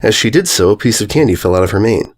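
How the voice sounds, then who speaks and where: A man reads a story aloud as its narrator. His mid-range voice moves around a lot in pitch, giving the scripted prose an expressive, animated feel. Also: fast. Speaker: fan fiction narrator.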